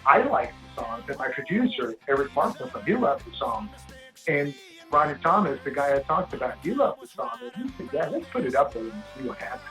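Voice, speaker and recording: A man from Sweden with a big, gravelly voice talks his way through long stories in a relaxed interview, often starting over mid-sentence. Faint music sits low behind him. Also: brisk, low-quality recording. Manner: rambling storyteller, frequent restarts. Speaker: singer-songwriter guest. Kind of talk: casual interview chat.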